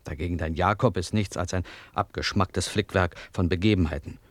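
An actor playing a skeptical, faultfinding listener who challenges the storyteller in a radio play. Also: male voice, low-pitched, a little fast, dry recording, lightly acted. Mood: disapproving. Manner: critical, dry, challenging. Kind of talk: German radio play acting.

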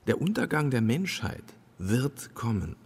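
Eccentric self-important guard, radio drama: halting, doom-minded, wildly varied pitch, big echoing space. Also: male voice, big pitch swings, slightly rough, exaggerated voice. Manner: halting, rambling, pompous. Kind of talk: German radio play acting. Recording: long reverb of a large hall.

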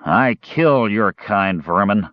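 A man voicing a surly, drunken lord in an audiobook, hoarse, slurred and menacing. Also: lowish voice, expressive, slow pace, dry recording, lo-fi sound.

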